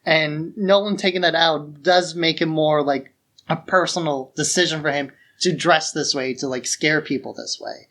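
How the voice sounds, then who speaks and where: A man's voice: a little high, steady tone, moderate pace, fairly bright tone. Informal man chatting on a film podcast. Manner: informal, frequent filler "like". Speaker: podcast co-host. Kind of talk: casual conversational film discussion.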